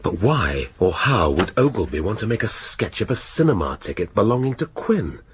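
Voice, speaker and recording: An actor with a British accent playing a dry, probing middle-aged police inspector in a radio drama. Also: male voice, lowish voice, sing-song, normal speed, breathy edge, full character voice. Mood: puzzled, pondering. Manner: dry, questioning, sardonic.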